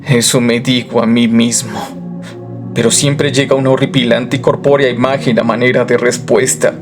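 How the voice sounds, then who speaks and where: A man's voice: mid-range voice, level tone, moderate pace, close to an everyday voice. A man playing a tormented narrator sliding into madness, telling his story in a solemn, theatrical way in a horror radio play. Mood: despairing, brooding. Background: music.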